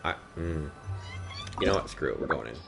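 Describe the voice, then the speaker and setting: A man livestreaming a video game with casual, wry, halting commentary, muttering to himself. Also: a bass voice, expressive, vocal fry. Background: game music.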